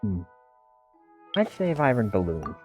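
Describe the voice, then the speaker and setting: An actor playing a calm, easygoing young adventurer in a video game. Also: mid-range voice, a little slow, soft background music. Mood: casual, musing.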